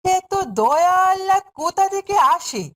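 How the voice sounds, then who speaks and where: A woman's voice: extremely high pitch, lively intonation, measured pace, a bit bright, heavily acted. A woman playing a cruel, domineering stepmother in a fairy-tale cartoon, speaking in a harsh, scolding, sneering way. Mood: angry nagging.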